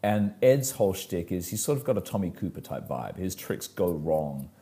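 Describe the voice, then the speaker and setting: A man co-hosting a casual talk, telling stories in an articulate, wordy way with animated emphasis. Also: sits low, moderate pace. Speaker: magician, podcast co-host. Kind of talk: casual conversational storytelling.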